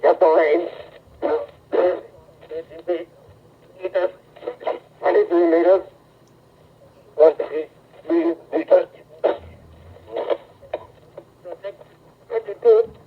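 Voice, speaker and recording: A man's voice: high-pitched, slow, vocal fry, pauses often, exaggerated voice. A male actor playing a terse army gunner in a radio drama, with clipped military call-outs. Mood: strained, urgent. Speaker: artillery crew. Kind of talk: 1930s radio drama acting. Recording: muffled, scratchy 1930s radio broadcast.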